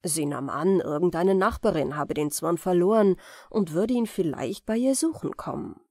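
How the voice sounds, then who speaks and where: A woman's voice: on the low side, expressive, moderate pace, mellow, no echo, studio quality. A woman reading a fairy tale as scripted audiobook narration for bedtime.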